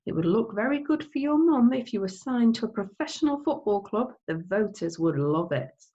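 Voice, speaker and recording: A woman's voice: mid-range voice, lively intonation, normal speed, mellow, dull audio, pitched above the performer's own voice, lightly acted. A woman voicing a sceptical middle-aged father in an audiobook, coaxing and incredulous as he nags his son.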